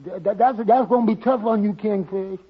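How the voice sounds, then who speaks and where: High-pitched stammering radio sitcom simpleton. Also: male voice, moderate pace, slightly rough. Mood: muddled. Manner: heavy comic stammer, repeats and loses words. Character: Shorty, timid, dim, stammering little sidekick fellow. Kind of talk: golden age radio sitcom acting. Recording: muffled vintage radio recording.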